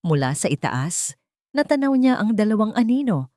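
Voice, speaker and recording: A woman's voice: mid-range voice, big pitch swings, moderate pace, dark tone, no echo, clean studio sound. A woman storyteller reading a scripted romantic drama in a solemn, emotive way.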